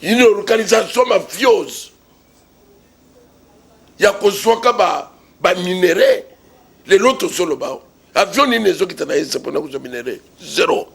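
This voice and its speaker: Husky, high-pitched, emphatic Congolese man. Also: lively intonation, unhurried, pauses often. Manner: emphatic, repetitive, rhetorical questions. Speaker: political commentator being interviewed. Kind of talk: impassioned political argument in an interview.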